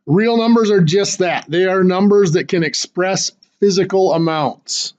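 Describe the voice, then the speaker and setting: Hoarse high-voiced man teaching math. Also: a little slow, darkish tone. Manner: explains step by step with fillers and restarts. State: losing his voice, clearing throat. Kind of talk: classroom math lecture.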